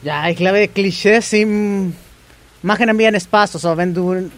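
Guy, high-ish voice, halting cheerful german in an interview. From Chile. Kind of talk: casual interview answers.

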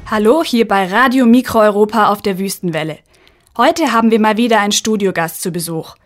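Woman, radio host, studio interview, friendly, middle pitch. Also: brisk.

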